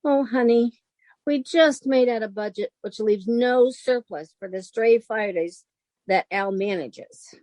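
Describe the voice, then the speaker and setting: Woman acting wry, sensible roommate, high dry voice. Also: darkish tone, no room sound, natural voice. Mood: dry exasperation. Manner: wry, patient, deliberate. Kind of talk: old-time radio comedy acting.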